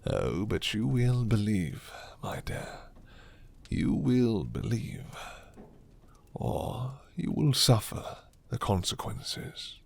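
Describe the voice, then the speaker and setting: A man voicing a suave, commanding vampire lord in an ASMR roleplay, with formal, breathy, half-whispered menace. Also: mid-range voice, wildly swooping pitch, drawn-out words, full character voice. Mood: menacing.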